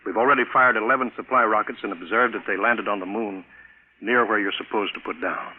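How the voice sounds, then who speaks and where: Actor as businesslike low-voiced chief, tinny old radio. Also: male voice, brisk, lightly acted. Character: brisk, matter-of-fact male space programme chief. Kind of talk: 1950s radio drama acting. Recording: noisy, tinny vintage radio broadcast.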